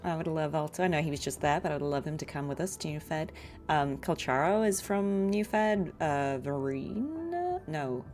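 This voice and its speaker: Low-voiced woman chatting on a game stream. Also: lively intonation, normal speed, darkish tone, faint music behind. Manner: reflective, encouraging, chatty. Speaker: streamer and voice actor. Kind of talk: casual game livestream commentary.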